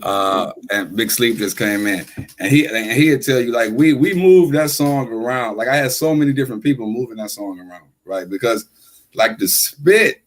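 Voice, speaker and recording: A man in his forties talks loosely as a podcast guest, circling his point with fillers and constant "right?" checks. His voice sits at an ordinary middle pitch. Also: lively intonation, normal speed. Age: 40s. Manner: rambling, full of fillers and "right?" tag questions. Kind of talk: casual chat.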